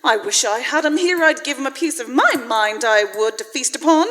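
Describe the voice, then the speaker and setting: A feisty Victorian wife and mother played by a woman in a radio drama. Her high voice swings widely, tart and emphatic with ready indignation. The hall around her leaves a long reverb. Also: animated, brisk, a bit bright. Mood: indignant. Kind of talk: live radio-play acting of a Victorian story. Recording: live in a reverberant church hall.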